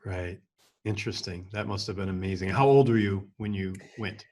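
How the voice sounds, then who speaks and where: A man's voice: low-pitched, fairly even intonation, normal speed, darkish tone. A man hosting an interview podcast, asking questions politely and responding with brief affirmations. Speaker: podcast host.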